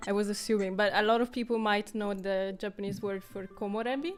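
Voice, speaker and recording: A woman's voice: mid-range voice, steady tone, fairly quick, soft background music. A woman from Italy, a Venetian speaker, co-hosting a casual stream and chatting freely. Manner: chatty, inviting others to share. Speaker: co-host. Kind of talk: casual chat.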